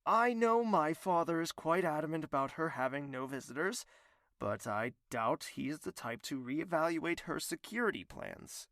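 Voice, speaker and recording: A man playing a brooding young prince, bright-voiced. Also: a little high, expressive, moderate pace, dry recording, studio quality. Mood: authoritative, probing. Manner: earnest, formal, melodramatic. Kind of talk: dramatic audio drama narration and dialogue.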